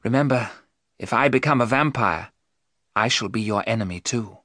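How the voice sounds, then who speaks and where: A man voicing a brave young woman, earnest, resolute and measured, in an audiobook story. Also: medium pitch, fairly bright tone, no room sound, studio quality, close to an everyday voice. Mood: solemn.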